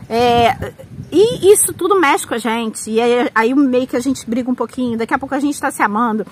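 High-voiced Brazilian woman, earnest vlogger. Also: normal speed, slightly rough. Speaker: vlog co-host. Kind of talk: casual vlog talk and narration.

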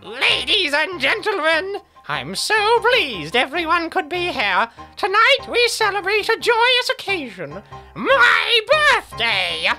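A woman voicing a menacing male clown supervillain in a comic dub, theatrical and gloating, with drawn-out words. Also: very high-pitched, pushed and bright, exaggerated voice.

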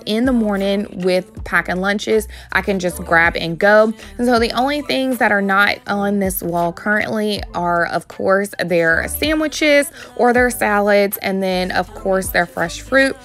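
A woman in her thirties talks her viewers through her home routine in a relaxed, chatty voice-over at a middle pitch. Light music plays faintly under her voice. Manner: chatty, addresses viewers as "you guys". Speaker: vlogger. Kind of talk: casual voice-over narration.

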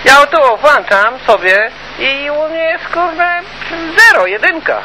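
A man's voice: very high voice, expressive, takes it slowly, pushed and bright. A man giving advice in a casual, colloquial and repetitive way during a CB radio chat. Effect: CB radio transmission. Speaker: CB radio hobbyist. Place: two-way CB radio conversation. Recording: noisy CB radio transmission.

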